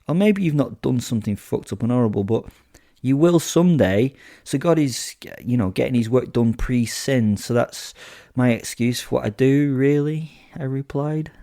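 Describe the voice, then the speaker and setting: A man's voice: mid-range voice, moderate pace, warm tone, no echo, clean studio sound, lightly acted. A man voicing a sadistic, gleeful werewolf killer who tells horror audio drama in the first person, with flippant, theatrical asides. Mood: mocking, taunting. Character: sadistic, gleeful male werewolf serial killer narrating his kills.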